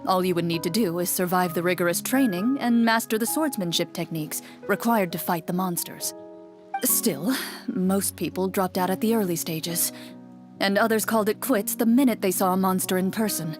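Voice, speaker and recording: A woman playing a composed young swordswoman and game master in a video game, speaking in a measured, formal, matter-of-fact way. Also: medium pitch, over music, lightly acted. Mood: composed, explanatory.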